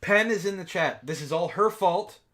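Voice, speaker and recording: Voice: medium pitch, normal speed, a bit bright, a little hiss or hum. A sarcastic, exasperated book club host who swears freely, reading aloud mockingly and breaking off for casual commentary.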